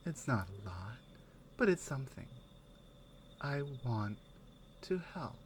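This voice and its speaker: A woman acts out a strong Nordic warrior woman in an ASMR roleplay. She speaks very slowly and softly, slipping into whispers, with a protective, tender, teasing temper. Noticeable ambience sits behind her voice. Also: a bass voice, sing-song, slight background noise, voice pushed down, full character voice. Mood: tender, reassuring. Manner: soft-spoken, partly whispered, unhurried.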